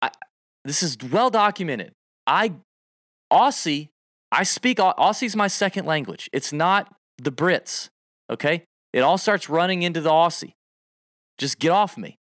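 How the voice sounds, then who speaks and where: A man co-hosting a casual golf betting livestream, talking in an animated, emphatic way and piling on stats. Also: fairly high pitch, a little slow, no echo, clean studio sound.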